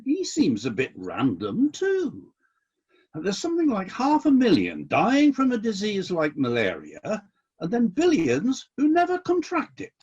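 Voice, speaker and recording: An actor playing an exacting, fair-minded celestial boss in a satirical radio play, speaking in a measured, questioning and politely insistent way. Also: medium pitch, wildly swooping pitch, darkish tone, husky, lo-fi sound, full character voice. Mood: sceptical.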